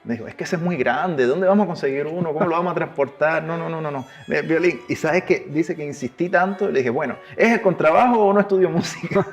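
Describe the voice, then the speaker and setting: Hombre con acento cubano algo achilenado, voz rasposa. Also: male voice, a little high, fairly quick, faint music behind. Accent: Cuban with a Chilean tinge. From Cuba. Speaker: guest, double bass player. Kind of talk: relaxed interview storytelling.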